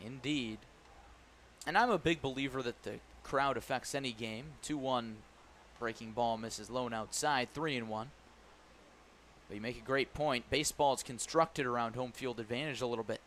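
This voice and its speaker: A man calling a baseball game live on the radio as the play-by-play announcer, animated on big plays and playful with his phrasing. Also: mid-range voice, moderate pace, a little hiss or hum. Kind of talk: live radio sports commentary. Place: live at a ballpark broadcast position.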